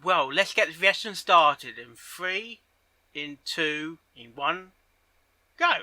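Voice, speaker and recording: A man hosting a reaction video, commenting excitably, with loud announcements and halting, muttered asides. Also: a little high, no room sound. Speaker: host of a reaction channel.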